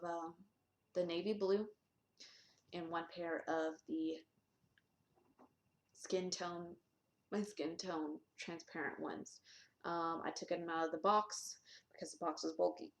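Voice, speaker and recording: Chatty young woman, low voice, echoey room. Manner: chatty, candid, many ums and restarts. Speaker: vlogger. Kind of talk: casual vlog.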